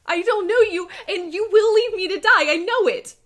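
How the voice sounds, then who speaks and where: A woman's voice: very high-pitched, normal speed, fairly bright tone, pitched above the performer's own voice. A woman voicing a frightened, bitter noblewoman in a tabletop game, breathless and afraid of being abandoned. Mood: fearful, pleading.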